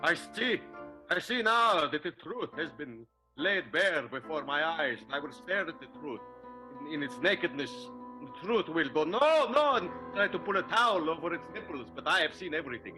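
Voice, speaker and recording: A man performs a pompous husband from the 1920s film world in an improvised radio soap. The voice is bright and forceful, swinging widely in pitch through formal, drawn-out declarations of hurt dignity. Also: very animated, soft background music, rough recording. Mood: wounded, grandiose. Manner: grandiose, formal, drawn-out deliberate phrasing.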